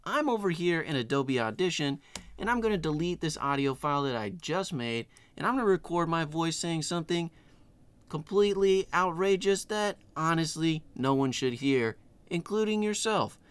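A middle-aged man hosting a casual screen-share software tutorial in a tongue-in-cheek, playfully exaggerated way. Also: fairly high pitch, lively intonation, normal speed, smooth, dry recording. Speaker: tutorial host.

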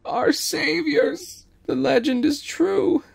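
A man's voice: very high voice, takes it slowly, gravelly, full character voice. A man playing an awestruck, desperate elder of a starving space colony, proclaiming reverently in an audio drama. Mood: awed, pleading. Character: desperate, awestruck older leader of starving station dwellers.

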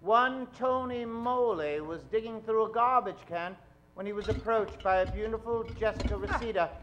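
A man's voice: high-pitched, moderate pace, a bit bright, dull audio, slight background noise. A middle-aged man narrating an old-time radio show on stage, theatrical and suspenseful. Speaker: host and narrator. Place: live stage, at a podium. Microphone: standing microphone.

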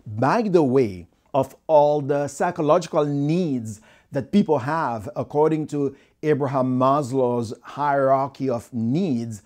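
A man's voice: medium pitch, slow, a little mellow. A middle-aged man with a Haitian accent speaks straight to camera as a motivational speaker, talking earnestly about the workplace, recorded in a studio on a lapel mic. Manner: earnest, gestures with both hands while talking. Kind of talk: direct-to-camera talk.

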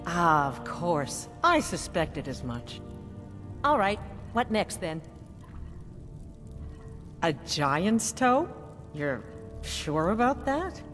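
A fawning castle chef for a fantasy game. Her voice sits a little low, formal and nervous, with halting, gushing delivery. It rings in a large hall with music underneath. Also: very animated, fairly bright tone, full character voice. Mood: deferential, unsure. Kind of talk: fantasy video game dialogue.

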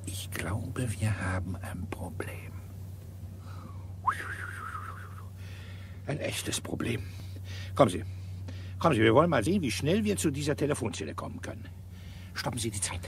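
Sardonic police inspector, commanding, dry, lively intonation, radio crime play, faint background noise. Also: male voice, medium pitch, brisk. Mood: pondering. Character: shrewd, sardonic, dogged male police inspector. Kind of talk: German radio crime drama acting.

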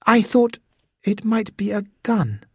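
A man's voice: sits high, big pitch swings, husky, dry recording, clean studio sound, pitched above the performer's own voice, exaggerated voice. A man voicing a nervous, hesitant young Japanese man who halts and pleads as he speaks. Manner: halting, pleading.

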